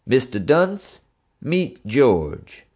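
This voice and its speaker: A man's voice: mid-range voice, sing-song, drawn-out words, darkish tone, breathy edge, voice pushed up, heavily acted. A man voicing a tough, heavyset police officer who talks sternly in a raised voice. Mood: mock polite.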